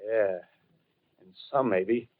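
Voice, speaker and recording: An actor playing a fanatical soldier survivor with grand schemes, speaking in rough, rambling, repetitive colloquial phrases in 1930s radio drama style. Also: male voice, on the low side, drawn-out words, no room sound. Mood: bitterly excited. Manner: rough colloquial speech, rambling, fervent, repeating himself. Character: fanatical artilleryman survivor scheming to rule the ruined world. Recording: vintage 1930s radio broadcast, narrow phone-like band.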